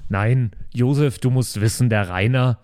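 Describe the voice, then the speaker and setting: A man running a tabletop role-play podcast as game master, teasing his players in quick banter. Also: mid-range voice, a little mellow, smooth.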